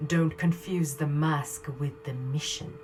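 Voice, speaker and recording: Woman playing a low, measured steely resistance leader. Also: fairly even intonation, darkish tone, a little hiss or hum. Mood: firm, persuasive. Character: steely female resistance leader, calculating and resolute. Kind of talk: sci-fi audio drama acting.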